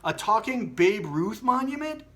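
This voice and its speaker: Man playing an excited young boy, storybook hero, earnest, high-pitched, lively swings in pitch. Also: a little slow, full character voice. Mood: amazed. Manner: eager, questioning.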